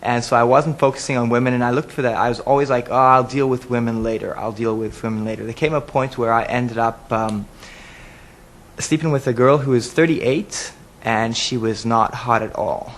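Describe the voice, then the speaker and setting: A man's voice: medium pitch, steady tone, moderate pace. A man from South Africa, a business student, telling his story reflectively in a confessional interview. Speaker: business student, reality show contestant.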